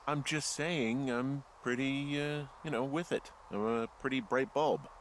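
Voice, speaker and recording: An actor playing a wry, easygoing fire lookout in a video game, talking in a dry, self-deprecating, casual way. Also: male voice, medium pitch, unhurried, slight background noise, lightly acted. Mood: mock boastful. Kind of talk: naturalistic video game dialogue.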